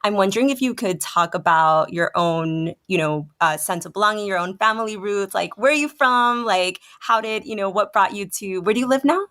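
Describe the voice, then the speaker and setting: A woman asks interview questions over a video call in an ordinary mid-range voice. She pauses often and fills the gaps with small hesitations as she feels for her words. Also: medium pitch, a bit bright.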